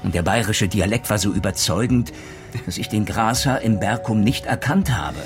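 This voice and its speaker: An actor playing a methodical retired police detective in a crime radio play, deliberate and self-assured, reasoning through rhetorical questions. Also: male voice, fairly low pitch, over music, lightly acted. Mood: reflective. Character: retired male police detective, methodical, probing, theatrically sure of himself.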